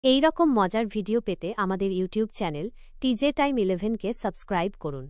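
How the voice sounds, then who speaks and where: Woman, scripted announcement, clean crisp voice, dry close sound. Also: medium pitch, expressive, fairly quick, a little mellow, no echo. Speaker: channel announcer.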